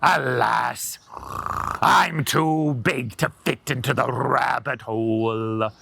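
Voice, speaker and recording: A man's voice: medium pitch, slow, gravelly, a little hiss or hum, pitched below the performer's own voice, full character voice. A man doing a growling greedy fox. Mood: sulky. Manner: growling, shouting. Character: hungry male fox, gruff and boastful.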